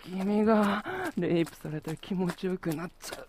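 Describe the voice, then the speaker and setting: A man playing a possessive, coaxing and domineering lover in an intimate adult audio drama, breathy and often dropping into whispers. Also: high voice, normal speed, darkish tone, slight background noise.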